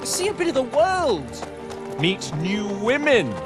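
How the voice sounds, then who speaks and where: A man's voice: very high voice, huge pitch swings, takes it slowly, music in the background, heavily acted. An actor playing a flippant, haughty young nobleman who craves adventure and swears freely, in a video game cutscene. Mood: eager, teasing. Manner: haughty, playful, theatrical exclamations.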